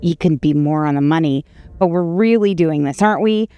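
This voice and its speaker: A woman's voice: low-pitched, brisk, dark tone, clear, resonant tone, muffled recording, faint music behind. A woman reading game dialogue aloud in character during a playthrough.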